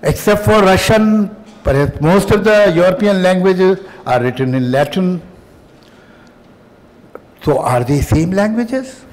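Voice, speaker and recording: An older man whose first language is Urdu gives a reflective, emphatic public speech at a festival, pausing often and adding wry humor. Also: sits high, darkish tone. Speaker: festival speaker. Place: hotel hall. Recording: long hall reverb.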